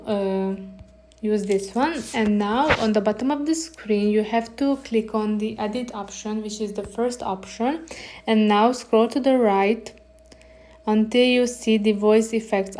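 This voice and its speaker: A woman's voice: mid-range voice, warm tone. A woman hosting a how-to tutorial, narrating step-by-step instructions. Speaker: tutorial host.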